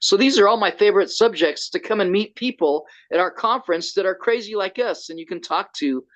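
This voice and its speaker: A man running a conference, talking excitedly and rambling with lots of restarts over a video call. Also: sits high, fairly quick. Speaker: conference organizer. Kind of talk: casual video call chat. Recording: dull lo-fi call audio.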